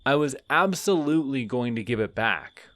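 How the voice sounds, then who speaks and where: A man's voice: mid-range voice, expressive, moderate pace, fairly bright tone, no room sound, lightly acted. A man voicing a friendly, chatty male skeleton who rambles sheepishly in an audio roleplay. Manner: rambling, sheepish, talks to himself.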